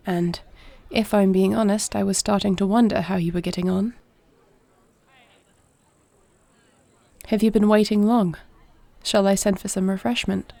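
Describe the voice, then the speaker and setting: A woman playing a duty-bound, caring warrior princess in an audio roleplay, speaking to the listener in a formal, measured, creaky voice. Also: mid-range voice, dry recording. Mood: reserved, sincere. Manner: formal, measured, soft-spoken speech.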